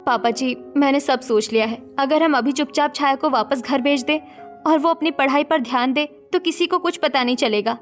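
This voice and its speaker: A woman's voice: sits high, moderate pace, faint music behind, lightly acted. A woman voicing a sensible, protective young married elder sister in a cartoon story, firm and admonishing. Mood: persuasive. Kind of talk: Hindi cartoon story dialogue acting.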